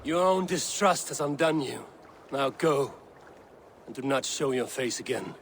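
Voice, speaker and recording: An actor playing a grave, proud and resolute warrior god in a video game, in a measured, solemn way. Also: male voice, mid-range voice, lively intonation, natural voice. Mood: stern, cold. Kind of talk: video game cutscene acting. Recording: noisy game stream capture.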